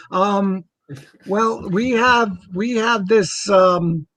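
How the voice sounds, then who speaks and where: A man's voice: sits high, extremely slow, fairly bright tone, no room sound. A man from New York, a comic radio co-host, telling rambling, joking anecdotes in casual chat. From New York, United States.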